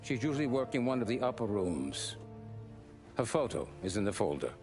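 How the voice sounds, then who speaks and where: An actor playing a calm, businesslike mob adviser laying out a job in a video game cutscene. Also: male voice, lowish voice. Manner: measured, businesslike. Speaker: mob consigliere. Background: music score.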